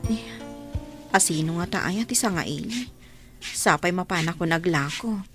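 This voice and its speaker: A woman's voice: on the low side, animated, a little slow, loud music behind the voice. A woman playing a long-suffering abandoned wife and mother in a radio drama, earnest and sorrowful. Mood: resigned. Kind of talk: Ilocano radio drama acting.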